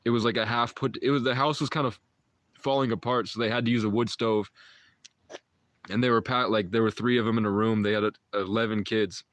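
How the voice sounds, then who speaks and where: A man's voice: fairly low pitch, fairly even intonation, fairly quick, fairly bright tone, no room sound. A man in his twenties, a livestream host, chatting and rambling with viewers in a meandering, mystical way, full of restarts and short chuckles. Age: 20s.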